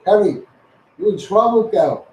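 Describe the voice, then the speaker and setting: A man's voice: high voice, animated, unhurried, warm tone, echoey room, a little hiss or hum, rough recording. A man with a Scouse accent giving a blunt, sweary, taunting rant to his online followers. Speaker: social media personality.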